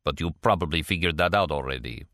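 An older Russian defector acted by a male audiobook reader speaks in a low voice with a Russian accent. He is proud and shrewd, placing formal words deliberately. The sound is dry and close. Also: fairly bright tone, no room sound. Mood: thoughtful. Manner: deliberate, formal, precise wording.

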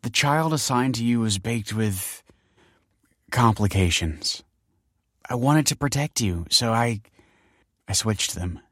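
Man voicing a tearful, pleading wife, pitched up. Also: brisk, no room sound, clean studio sound, close to an everyday voice. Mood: tearful, confessing. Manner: pleading, self-justifying, emotional. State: tearful, sniffing. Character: distraught, defensive wife pleading tearfully with her husband.

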